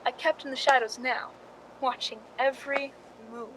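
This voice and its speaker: A woman's voice: sits high, fairly even intonation, normal speed, fairly bright tone, slight background noise, rough recording. A woman playing a jealous, scheming stand-in who tells her murder plot in a suspenseful radio drama. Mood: tense, urgent. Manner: suspenseful, confessional storytelling. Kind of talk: radio drama narration and dialogue.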